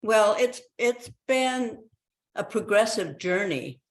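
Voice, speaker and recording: A woman in her eighties talks as a guest in an interview. She speaks conversationally and reflectively, at a medium pitch for a woman, recounting her own experience. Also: animated, slow pace. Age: 80s.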